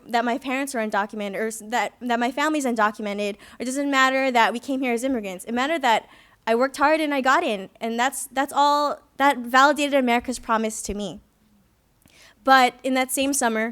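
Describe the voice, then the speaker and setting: A woman's voice: fairly high pitch, a bit bright, clean tone. A young woman from the Philippines giving an earnest, reflective personal testimony at a conference, with light self-deprecating humor. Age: young adult. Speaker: student activist speaker. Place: conference hall.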